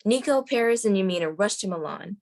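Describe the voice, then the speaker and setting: A woman's voice: mid-range voice, expressive, a little fast. A woman narrator reading screenplay stage directions aloud at a table read.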